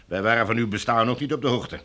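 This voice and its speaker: An actor playing a formal, domineering military commander in a radio drama, smooth and coldly menacing. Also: male voice, medium pitch, fast, a bit bright, lightly acted. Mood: officious, imperious. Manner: formal, officious, bureaucratic.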